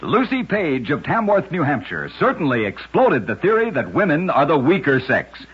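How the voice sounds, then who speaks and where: A man's voice: a little high, wildly swooping pitch, normal speed, breathy edge. A man reading scripted short stories for a radio show with an emphatic, dramatic storytelling delivery. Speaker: radio narrator of oddity stories. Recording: dull lo-fi old radio recording.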